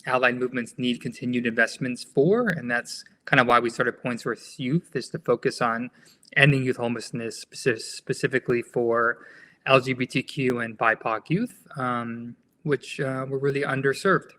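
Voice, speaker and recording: An American man speaks as a podcast guest in a clear, bright voice. He answers in a measured, thoughtful way and often stops on an um or an uh. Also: medium pitch, steady tone. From United States. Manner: measured, with frequent um and uh pauses. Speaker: nonprofit founder, guest. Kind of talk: conversational interview answers.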